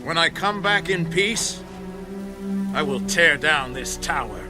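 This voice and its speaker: A vengeful commander of ancient Israel, stern and declamatory. His forceful delivery suits scripture drama. Loud music runs behind him. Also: fairly high pitch, expressive, takes it slowly. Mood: threatening.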